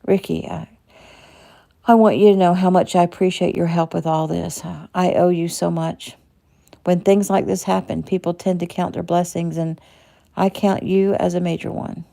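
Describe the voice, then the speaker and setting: A woman's voice: fairly low pitch, little pitch movement, moderate pace, creaky, mellow. A woman reading a tender, worried man. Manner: tender, pleading. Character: gentle worried father, husband.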